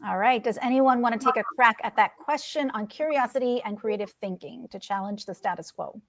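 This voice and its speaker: A woman hosting a business talk show, moderating a panel with a brisk, upbeat manner. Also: fairly high pitch. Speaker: host.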